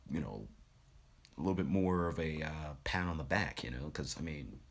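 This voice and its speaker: A young man who does voice acting, telling a personal story in a relaxed, reflective vlog with lots of fillers. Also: rumbling low, creaky. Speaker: voice actor and streamer.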